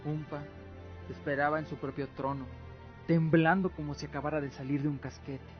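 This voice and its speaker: A man's voice: on the high side, fairly even intonation, moderate pace. A man reading dramatic scripted narration as the narrator of a radio drama. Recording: muffled audio with music. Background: faint music.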